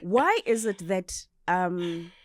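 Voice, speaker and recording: A woman's voice: lowish voice, animated, takes it slowly. A South African woman hosting a casual radio interview, slipping between languages as she asks questions. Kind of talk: casual interview chat, code-switching.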